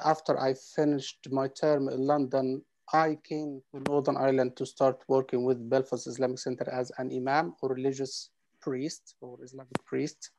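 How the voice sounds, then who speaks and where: A man in his forties from Egypt introduces himself on a video call. His delivery is flat and even, and hesitant fillers break up his sentences. Faint background noise sits under his voice. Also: medium pitch, rough recording. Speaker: imam and project coordinator. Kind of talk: panel self-introduction. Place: home room, indoors.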